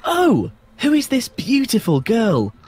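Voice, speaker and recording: A man's voice: very high voice, wildly swooping pitch, takes it slowly, darkish tone, husky, full character voice. A man playing an earnest, eager young prince in a children's fairy tale. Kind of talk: children's storybook acting.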